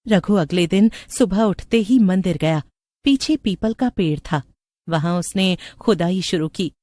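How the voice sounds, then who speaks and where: A woman's voice: on the low side, unhurried, dark tone, dry recording. A woman narrator reading a scripted story.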